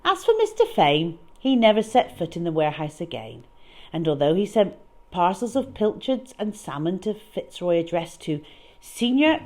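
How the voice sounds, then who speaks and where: A woman storyteller reading aloud to children in a dramatic, expressive way, leaning on key words. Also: lowish voice.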